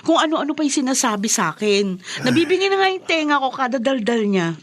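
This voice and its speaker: A woman's voice: sits high, sing-song, brisk. An actress playing a reluctant young mother and daughter-in-law, hesitant in manner, in a radio drama. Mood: sullen, complaining. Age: young adult. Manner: hesitant, mixing in English words. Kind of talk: Tagalog radio drama acting.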